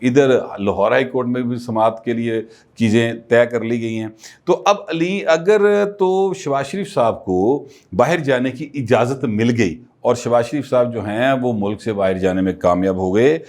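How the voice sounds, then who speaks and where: A man's voice: mid-range voice, darkish tone. Chatty political commentator, man. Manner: conversational, many filler pauses.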